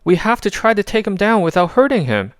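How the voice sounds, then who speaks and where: A man's voice: sits high, sing-song, fairly quick, no echo, studio quality, voice pushed up. A man voicing a suspicious, sarcastic space adventurer who leads his crew in a children's story. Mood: urgent.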